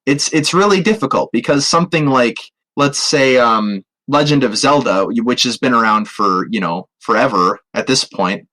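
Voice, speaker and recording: A man with a mid-pitched voice talks as a podcast co-host in a casual review chat. He is somewhat hesitant and often repeats phrases as he works toward his point. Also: normal speed, hardly any pauses, sharp tone, low-quality recording.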